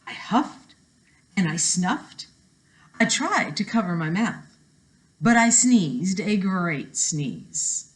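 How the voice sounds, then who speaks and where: A woman reading a storybook aloud to children, expressive and emphatic, drawing out words and adding asides to her listeners. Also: mid-range voice, slow, long pauses.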